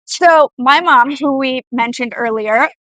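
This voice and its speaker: A woman's voice: high voice, measured pace, fairly bright tone, dry recording, low-quality recording. An American woman co-hosting a live show in casual chat, playful, teasing and emphatic. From born in Poland, raised in the United States.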